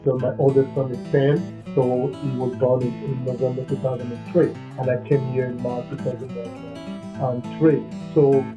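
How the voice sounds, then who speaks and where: A man from Cameroon, telling his own story in a casual interview, halting and often checking in with the listener. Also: mid-range voice, flat delivery. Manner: halting, leans on "you know what I mean". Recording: dull lo-fi sound with faint music.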